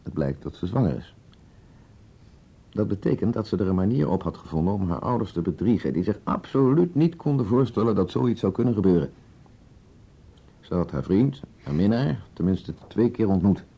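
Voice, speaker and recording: Gravelly, low-voiced police commissioner. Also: male voice, expressive, normal speed, warm tone, full character voice. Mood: measured, probing. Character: male police commissioner, probing, composed, pressing interrogator. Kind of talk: radio drama acting. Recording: dull radio play recording.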